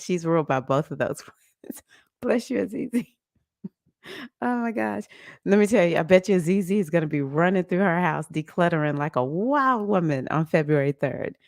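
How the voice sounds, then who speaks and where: A woman, hosting a casual livestream about astrology, chatty and animated, laughing often and greeting viewers by name. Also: low voice, no echo, clean studio sound. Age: 50s. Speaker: livestream host.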